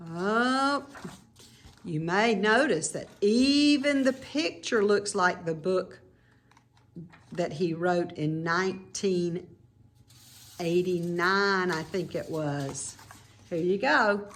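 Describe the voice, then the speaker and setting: A woman reading a children's picture book aloud in an expressive, teacherly way, with informal explanatory asides to young listeners. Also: on the low side, takes it slowly, pauses often.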